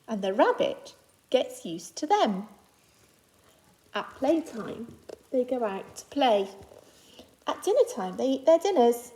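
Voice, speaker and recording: Higher-pitched woman reading to young children. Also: big pitch swings, dark tone, low-quality recording. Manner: simple, child-directed delivery with deliberate phrasing and reassuring asides. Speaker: infant school teacher reading aloud to new pupils. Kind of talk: children's picture book read aloud with personal asides.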